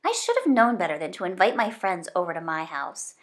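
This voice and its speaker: A woman reading a children's book aloud in an expressive storytelling style. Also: fairly low pitch, a little fast. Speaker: storybook reader.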